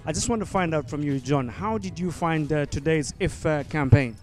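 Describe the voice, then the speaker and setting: A man interviewing people at an event, halting with frequent fillers and restarts. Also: a little high, darkish tone, faint music behind. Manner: hesitant, many ums and restarts.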